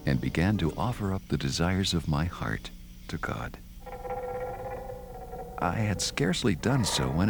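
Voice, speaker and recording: An actor playing an earnest young religious visionary, solemnly and deliberately recounting his vision in formal old-fashioned language in an audio drama. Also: deep voice, creaky, exaggerated voice. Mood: solemn, reflective, then alarmed, desperate. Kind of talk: dramatized first-person narration, audio drama.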